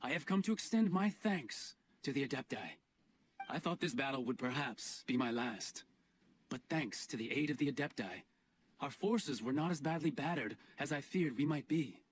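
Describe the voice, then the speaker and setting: A man's voice: medium pitch, expressive, normal speed, slight background noise, natural voice. A man voicing an earnest, dutiful army sergeant in a video game, formal and respectful. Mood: respectful, grateful. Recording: game music under the voice.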